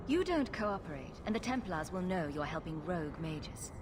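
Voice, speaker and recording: A woman's voice: fairly low pitch, very animated, moderate pace, background noise. A woman playing a tough, blunt adventurer heroine in a video game cutscene. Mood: blunt, serious.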